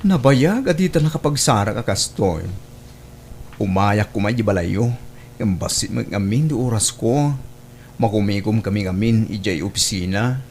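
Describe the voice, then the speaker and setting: A man's voice: fairly low pitch, lively intonation, normal speed, a little hiss or hum. A man with an Ilocano Filipino accent playing a polite, plain-spoken office coworker in an audio drama. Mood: polite, matter-of-fact. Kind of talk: Ilocano radio-style drama acting.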